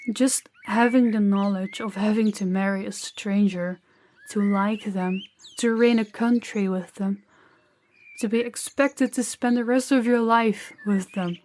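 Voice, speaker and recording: A woman playing a gentle, candid young princess in an intimate audio roleplay, soft-spoken and confiding with hesitant pauses. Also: mid-range voice, fairly even intonation, lightly acted. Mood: earnest, uneasy.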